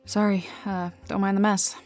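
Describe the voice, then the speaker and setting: A woman's voice: mid-range voice, flat delivery, normal speed, darkish tone, lightly acted. A woman playing a sarcastic female private detective in a noir ASMR roleplay, with a dry, casually streetwise delivery. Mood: casual, dryly hospitable. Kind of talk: noir ASMR audio roleplay, intimate second-person acting. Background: music, rain and city ambience.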